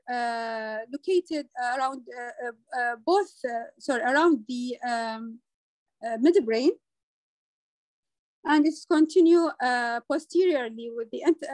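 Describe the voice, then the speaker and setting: A woman lecturing over slides as a medical teacher, speaking in a halting way with frequent hesitant pauses. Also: high-pitched, a little mellow, no echo.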